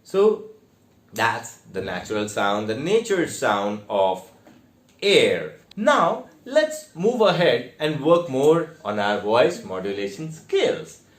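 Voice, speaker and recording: A man with an Indian accent giving a theatre lesson in a deliberate, step-by-step way with emphatic stressed words. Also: mid-range voice, very animated. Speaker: theatre teacher. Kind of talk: instructional online lesson with demonstrations. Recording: echoey, reverberant room.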